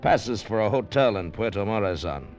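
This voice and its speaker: An actor playing a weary charter boat captain who narrates with dry, sardonic humor in a 1940s radio drama, on a dull old recording. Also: male voice, on the low side, animated, moderate pace, a little mellow, soft background music, lightly acted. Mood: wry.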